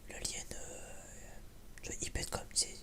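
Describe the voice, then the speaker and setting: A man whispering in a breathy, almost unvoiced voice, chatting casually and hesitantly in a rambling, blunt, slangy ASMR style. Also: unhurried. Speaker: ASMR YouTuber, film reviewer.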